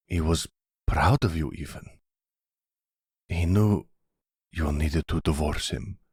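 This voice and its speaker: A man's voice: low-pitched, a little mellow, husky, no room sound, studio quality, exaggerated voice. A man playing a troubled, emotionally raw young son, speaking hesitantly and earnestly in an audio drama. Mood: earnest, consoling. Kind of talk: intimate audio roleplay drama.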